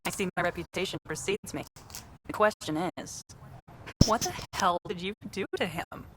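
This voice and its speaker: A woman's voice: on the low side, normal speed, background noise, close to an everyday voice. A woman playing a brash, confident, teasing colony scientist in a sci-fi video game. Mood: brisk, teasing.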